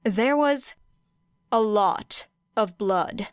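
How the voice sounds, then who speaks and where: Woman acting a stern, commanding, slow-spoken heroine. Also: mid-range voice, lively intonation, clean tone, no echo, clean studio sound. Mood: grudging, uneasy. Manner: harsh, clipped, authoritative. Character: stern, commanding woman hero with cold swagger. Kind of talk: audiobook character acting.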